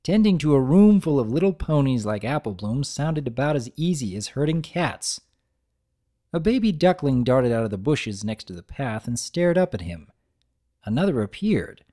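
A narrator reads fiction as a dramatic storybook performance. The pitch is middling and moves around a lot, giving an animated scripted delivery.

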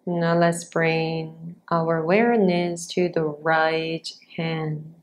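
Very slow, low-voiced woman guiding meditation. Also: level tone. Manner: unhurried, measured, with long pauses between short instructions. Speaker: yoga nidra teacher guiding a practice. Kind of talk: guided meditation.

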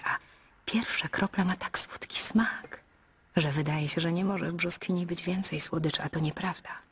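An actress voicing a lyrical, coy female answering machine in a radio play, speaking in a dreamy, breathy half-whisper. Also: lowish voice, heavily acted. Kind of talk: radio drama acting.

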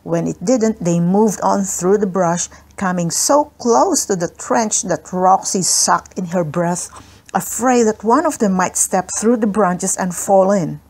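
A woman reads a children's book aloud as a storyteller. Her pitch sits in the middle range for a woman, and the delivery is scripted narration. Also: a little slow, darkish tone. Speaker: audiobook narrator.

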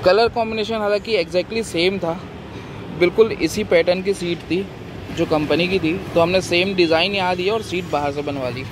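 A man in his thirties talks to a phone camera held at arm's length, relaxed and chatty, with plenty of fillers. His voice sits a little high. Steady car and road noise runs under the recording. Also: moderate pace. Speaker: travel vlogger. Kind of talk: casual travel vlog narration. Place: in a car on a highway at night, outside a roadside hotel, and in a hotel room.

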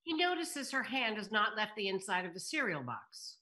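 A woman's voice: medium pitch, very animated, normal speed, sharp tone. A woman reading out stage directions as scripted narration during a table read on a video call. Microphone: computer on video call.

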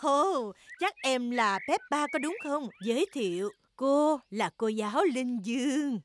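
A woman voicing a kindly, encouraging antelope ballet teacher in a children's cartoon, measured and gracious. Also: high-pitched, lively intonation, a little hiss or hum. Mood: welcoming.

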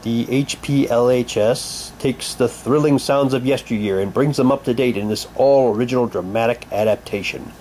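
A man giving a halting, unhurried, casual commentary as he shows and reads out items for an unboxing video. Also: medium pitch. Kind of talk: casual unboxing commentary, reading packaging aloud. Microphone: handheld camera's built-in mic. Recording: noisy home camcorder, steady hum.

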